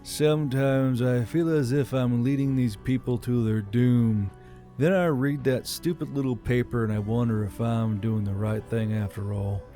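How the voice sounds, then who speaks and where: Casting: tired male commander, read by a male narrator, mellow mid-low voice, resigned, full of self-doubt, audiobook drama. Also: fairly even intonation, normal speed, faint music behind, close to an everyday voice. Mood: weary doubt. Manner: resigned, sighing, hesitant. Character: weary, self-doubting male military leader. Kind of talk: audiobook character voice.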